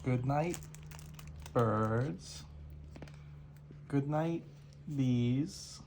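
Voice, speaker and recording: A parent reading rhyming picture books aloud to a toddler in a deliberate sing-song rhythm, in a home recording. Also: medium pitch, a little mellow. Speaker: parent reading bedtime stories. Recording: noisy amateur home recording.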